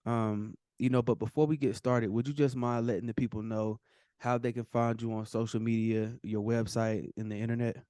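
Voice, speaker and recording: A man's voice: mid-range voice, level tone, normal speed, dark tone, clean tone, no echo, studio quality. A man hosting an interview, asking questions in a hesitant way with many fillers. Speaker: host. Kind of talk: podcast interview.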